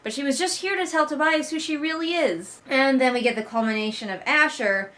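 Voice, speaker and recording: A woman's voice: high voice, fairly quick, a bit bright. A woman hosting a read-along, casually recapping and critiquing a story with sarcastic, exasperated commentary and casual swearing. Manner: acts out mocking character quotes. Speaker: host of a book read-along.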